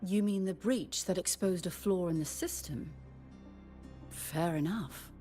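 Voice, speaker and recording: An actress as an authoritative female official in a video game, her voice on the low side. She is composed, formal and even-handed, with light music underneath. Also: unhurried, natural voice. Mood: calm, official.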